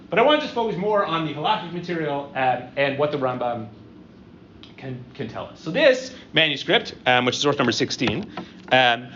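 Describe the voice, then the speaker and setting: Middle-aged male lecturer, slightly high voice, talks in stops and starts with constant "right?" checks, dull muffled room recording with faint background hum. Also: bright, forceful tone. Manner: conversational, halting, frequent "um" and "right?" tags. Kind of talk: university-style lecture with audience questions.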